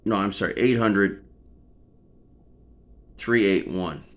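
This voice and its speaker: Low-voiced, insistent man on a call. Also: slow, fairly bright tone. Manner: insistent, argumentative. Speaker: son on a phone call with his mother. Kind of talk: personal phone conversation.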